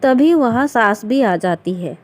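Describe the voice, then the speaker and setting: A woman's voice: a little high, expressive, unhurried, slight background noise. A woman narrating a scripted family moral story as a storyteller.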